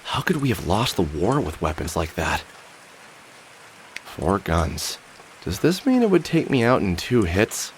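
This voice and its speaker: A man's voice: low-pitched, big pitch swings, measured pace, long pauses, a little hiss or hum. An actor playing a wry, world-weary middle-aged man in a video game, muttering sardonically as he thinks aloud. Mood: sardonic. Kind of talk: scripted game character monologue, thinking aloud. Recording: music under the voice. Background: music.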